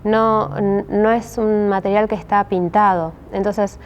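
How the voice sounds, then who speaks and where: A woman's voice: medium pitch, steady tone, a little slow, slight background noise. Patient Rioplatense woman teaching a craft. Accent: Rioplatense Spanish. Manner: patient, with frequent "¿sí?" check-ins and many hesitations. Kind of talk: step-by-step craft tutorial.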